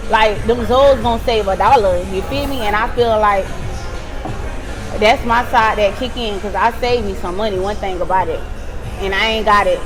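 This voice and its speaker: A woman guest chatting in a relaxed, slangy way. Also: medium pitch, normal speed, music in the background, low-quality recording. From United States. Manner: relaxed, slangy, laughs easily. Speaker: interview guest, business owner. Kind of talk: casual interview chat.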